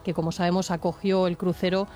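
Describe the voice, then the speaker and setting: A woman's voice: lowish voice, little pitch movement, fast, dark tone, soft background music. A woman with a Castilian Spanish accent, a correspondent reading the news from Madrid. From Spain. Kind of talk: news report read on air.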